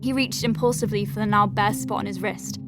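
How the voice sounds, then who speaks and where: A woman playing a conscientious, wry and compassionate doctor dictating patient notes in an audio drama, measured and articulate with conversational asides. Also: mid-range voice, steady tone, music in the background, lightly acted. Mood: regretful, firm. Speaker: doctor at a clinic.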